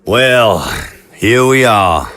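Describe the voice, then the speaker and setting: An actor playing an affable, chatty president in an audio drama, with a genial, hesitant, chuckling manner. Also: male voice, mid-range voice, drawn-out words, background noise. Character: affable, chatty politician president on a state visit.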